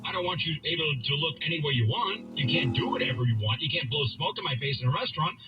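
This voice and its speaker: A man's voice: on the high side, animated, fast talker, lo-fi sound, music in the background. A man hosting talk radio, giving an opinionated, emphatic monologue and repeating phrases for effect. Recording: radio broadcast with faint background noise.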